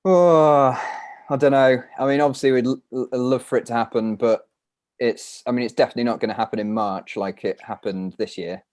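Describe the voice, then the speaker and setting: A man's voice: medium pitch, fairly even intonation, brisk, a little mellow. A British man, a climber, answering questions in a casual live chat, rambling a little as he thinks aloud.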